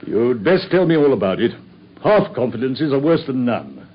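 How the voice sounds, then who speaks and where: A steady police inspector in a vintage radio play, probing a witness with patient questions. His husky voice moves lively up and down. The sound is dull and lo-fi. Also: mid-range voice, moderate pace. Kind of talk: radio drama acting.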